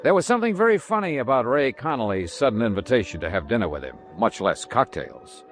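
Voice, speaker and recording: An actor playing a hard-boiled, wry insurance investigator in a 1950s radio drama, with brisk, clipped delivery. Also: male voice, sing-song, faint music behind. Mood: wry, matter-of-fact. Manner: brisk, wry, clipped first-person narration and banter. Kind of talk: 1950s radio detective drama acting and narration. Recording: old radio broadcast over vehicle rumble.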